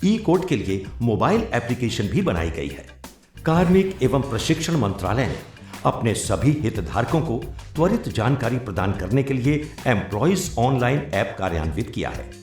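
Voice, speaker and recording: Animated male narrator over music. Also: mid-range voice, normal speed. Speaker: narrator of a government training film. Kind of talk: formal scripted documentary narration. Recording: roomy echo, music behind. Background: background music.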